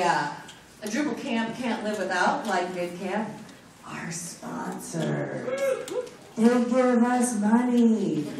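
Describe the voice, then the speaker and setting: A woman announcing sponsors at a conference, loud and playful, joking between the scripted parts. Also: fairly high pitch, far from the mic. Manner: loud, animated, joking asides. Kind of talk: playful live announcements to an audience. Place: large conference room with an audience. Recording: echoey hall recorded from afar, crowd noise.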